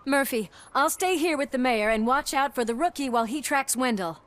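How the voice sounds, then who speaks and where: High-voiced, tough female cop. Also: lively intonation. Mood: determined. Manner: brisk, no-nonsense. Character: tough, loyal female police officer, brisk and determined. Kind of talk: video game cutscene acting.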